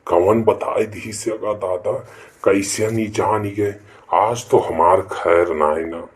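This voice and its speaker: A man's voice: lowish voice, fairly even intonation, slow pace, breathy edge. A man voicing a sly, nervous village husband with an Awadhi accent in a rural cartoon comedy. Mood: worried, fearful.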